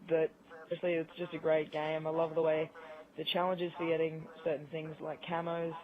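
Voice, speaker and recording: Tinny, higher-pitched guy chatting games. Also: completely flat delivery, moderate pace, crowd noise. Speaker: podcast guest. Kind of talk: casual gaming chat. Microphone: online voice chat. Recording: tinny phone-like voice call, busy game audio behind. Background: video game audio.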